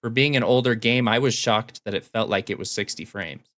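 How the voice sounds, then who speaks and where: A man's voice: lowish voice, a little fast, a bit bright, no echo. A man chatting casually and enthusiastically about video games as a podcast co-host.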